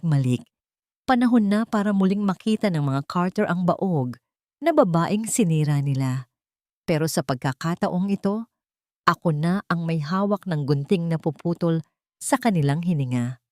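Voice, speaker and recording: Cold, ironic wealthy heroine out for revenge, read by a female narrator, radio-drama style, lowish voice. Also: animated, a little mellow, no room sound, studio quality, lightly acted. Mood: cold, vengeful. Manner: ironic, measured. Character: cold, vengeful wealthy woman designer, determined.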